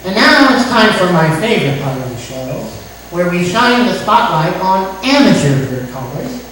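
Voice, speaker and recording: A woman playing a self-important male talk show host obsessed with birds, pompous and deliberate, in old-time radio comedy style. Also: lowish voice, sing-song, fairly bright tone. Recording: echoey room, distant mic, faint noise.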